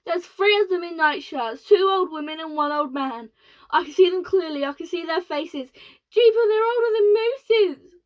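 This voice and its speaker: A woman voicing a panicky male astronaut who reports in a shrill, tumbling rush. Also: moderate pace. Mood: panicked. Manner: excited, shrill, tumbling words.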